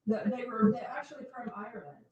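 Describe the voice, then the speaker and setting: A woman's voice: on the high side, quick pace, mellow. A woman co-hosting a casual live stream, chatting and greeting viewers by name. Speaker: co-host reading the live chat. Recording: roomy, distant, dull with faint noise.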